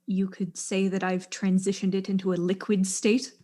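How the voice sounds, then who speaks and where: A proud, weary widow and engineer, played by a woman in a mystery drama. Her voice sits at a medium pitch, and she speaks in curt, articulate sentences with dry exasperation. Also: little pitch movement, moderate pace, mellow, close to an everyday voice. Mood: icy irritation.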